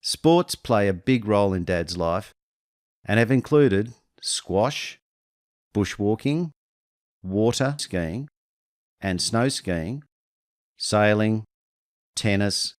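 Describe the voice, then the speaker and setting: A man narrating a scripted tribute to his father, in a measured, list-like delivery. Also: on the low side, no echo, clean studio sound. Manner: measured, listing slowly. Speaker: narrator, son paying tribute.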